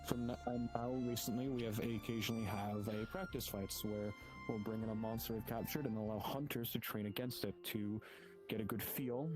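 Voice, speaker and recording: A man improvising an in-character guild orientation, explaining politely and wordily. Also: fairly low pitch. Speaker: roleplayer as hunter guild guide. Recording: music behind the voice. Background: music.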